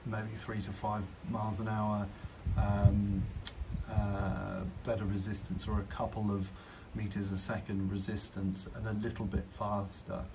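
A man's voice: on the low side, level tone, measured pace. A man giving a casual, informal demo commentary as a drone reviewer, thinking aloud hesitantly. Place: outdoors on a garden patio in rain. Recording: outdoor recording with clear background noise.

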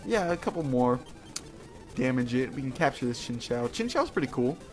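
A man's voice: mid-range voice, big pitch swings, normal speed, faint music behind. A man hosting a video game playthrough with casual, rambling, playful commentary, slangy and often repeating his phrases.

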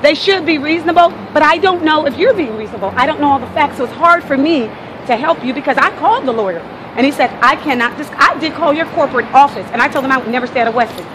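High-pitched, insistent American woman. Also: fast talker. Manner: insistent, repeats herself, interrupts. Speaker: local resident confronting a protester. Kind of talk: heated negotiation on the street. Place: outdoors on a city street beside traffic. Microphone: handheld phone camera. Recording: noisy outdoor street, phone recording.

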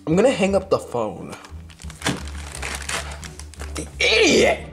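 A man plays a grumpy animatronic bear who works a pizza counter in a homemade plush skit. He speaks slowly, with big swings in pitch and little patience. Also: wildly swooping pitch, over music, heavily acted. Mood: angry. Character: irritable animatronic bear running a pizza place. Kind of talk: amateur plush comedy skit.

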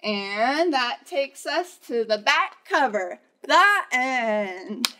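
Young American woman, high voice, unhurried children's librarian, animated and encouraging. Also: background noise. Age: young adult. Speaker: children's librarian, storytime host. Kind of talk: children's storytime presentation and read-aloud. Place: indoors in a library, seated before bookshelves.

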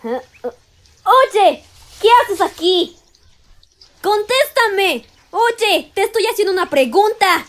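Young female cartoon canine, played by a woman: very high voice, suspicious, excitable, pushy and demanding. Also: slow, pauses often, exaggerated voice. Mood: demanding, angry. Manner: demanding, insistent. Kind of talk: animated fandub acting.

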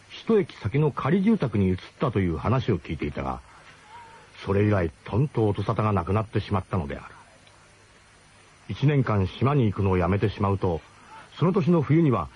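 A man's voice: fairly low pitch, darkish tone, slight background noise. An actor narrating a radio drama as a wry, nostalgic adventurer looking back on his gang. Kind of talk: scripted first-person radio drama narration.

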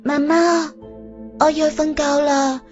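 A high, babyish little girl in a storybook drama, acted by a male narrator. She is sweet and coy, slightly muffled, with music behind. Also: little pitch movement, a little slow. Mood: coy, pleading. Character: sweet, whiny little girl, kindergarten age. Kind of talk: audiobook character dialogue.

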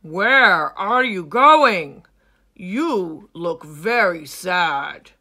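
A woman voicing a mighty, sleepy old male lion who speaks slowly, loudly and solemnly. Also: lowish voice, expressive, heavily acted.

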